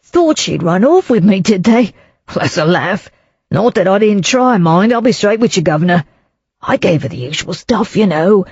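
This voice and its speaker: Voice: expressive, moderate pace, darkish tone, breathy edge, dry recording. A reader doing a smarmy Cockney salesman. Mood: wheedling, nervous. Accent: Cockney overlaid with refinement. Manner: wheedling, panicky, raised pitch. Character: smarmy Cockney travelling salesman in his thirties. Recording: dull lo-fi, dry.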